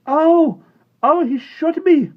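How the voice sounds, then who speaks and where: A shrill hot-headed boy. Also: slow pace. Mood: pained. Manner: whiny and shrill. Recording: dull lo-fi recording.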